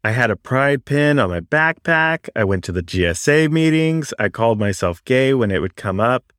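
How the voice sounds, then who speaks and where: A man reading a first-person story aloud as an audiobook narrator, in a reflective, confessional way with deliberate pauses. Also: mid-range voice, expressive, a bit bright, clean tone, dry recording, studio quality. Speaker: story reader.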